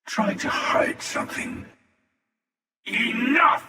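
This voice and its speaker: An older man playing an ancient, sardonic and menacing starfaring hunter in a video game, with a gravelly, wry, taunting drawl. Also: very high voice, slow, pushed and bright, recorded from a distance, full character voice. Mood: cold, sardonic. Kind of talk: scripted video game character dialogue. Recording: cavernous reverb, voice set back from mic.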